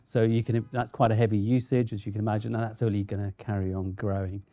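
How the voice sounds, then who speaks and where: A man speaking as a panelist in a measured, explanatory way. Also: on the low side, flat delivery, dark tone. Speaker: enterprise architect, panelist.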